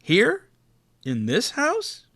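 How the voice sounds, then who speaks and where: A man's voice: extremely high pitch, huge pitch swings, extremely slow, pushed and bright, dry recording, studio quality, pitched above the performer's own voice, heavily acted. A man voicing a nervous, hesitant bearded gnome.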